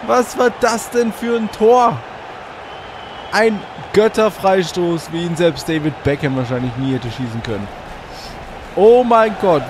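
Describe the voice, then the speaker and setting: A man with a slight cold hosting let's play commentary on a football video game from a hot room at home, getting excitable and shouting at goals. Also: sits high. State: a bit congested with a cold. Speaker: gaming channel host. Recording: audible fan noise.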